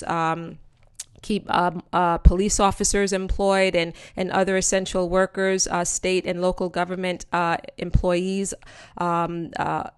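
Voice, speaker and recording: A woman with a Jamaican accent talks viewers through a slide presentation in a relaxed, chatty way, calling them friends and guys and filling pauses with ums. Her voice sits low and sounds smooth and resonant. The recording is close and dry, with no room sound. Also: no room sound. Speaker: host of a channel explaining news to viewers. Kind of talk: casual explainer presentation over slides.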